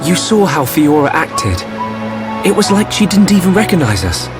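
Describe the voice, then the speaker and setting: Game lead: thoughtful young hero, sincere, animated intonation. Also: male voice, fairly high pitch, moderate pace, over music. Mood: troubled. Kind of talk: video game cutscene acting.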